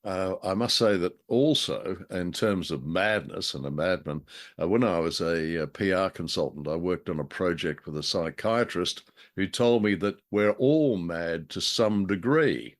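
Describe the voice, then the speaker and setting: A middle-aged man co-hosting a show, chatting casually about stories in a wordy, wry, measured way. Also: sits low. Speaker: co-host of an old-time radio drama show. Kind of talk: casual chat about a story.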